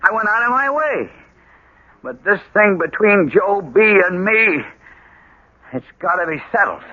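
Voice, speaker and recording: An actor playing an agitated, suspicious small-town husband in an old-time radio drama, stammering and insisting. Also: male voice, high voice, sing-song, unhurried, heavily acted. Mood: brooding, resolved. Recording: muffled old radio recording, music behind.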